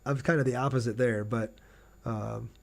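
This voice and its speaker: A man's voice: medium pitch, fairly even intonation, moderate pace, creaky. A man doing casual live gameplay commentary as a streamer, chatty, thinking aloud and reading out viewer messages.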